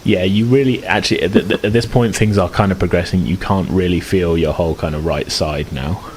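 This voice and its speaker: A man's voice: deep voice, fairly quick, darkish tone, a little hiss or hum. A man acting as game master, describing scenes in a chatty, hesitant way. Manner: chatty, hesitant, many restarts.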